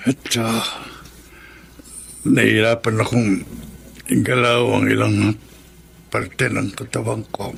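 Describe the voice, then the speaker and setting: Radio drama: frail, caring old Filipino father, gravelly, unhurried and tired. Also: fairly low pitch, little pitch movement, a bit bright, a little hiss or hum, exaggerated voice. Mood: weak, struggling. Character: elderly ailing father, gentle and caring. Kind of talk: Tagalog radio drama acting.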